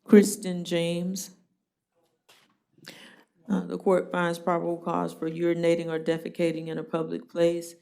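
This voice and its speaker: A woman judge running a jail court hearing, brisk and plainspoken with a dry joking streak. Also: on the low side, completely flat delivery, creaky, dark tone.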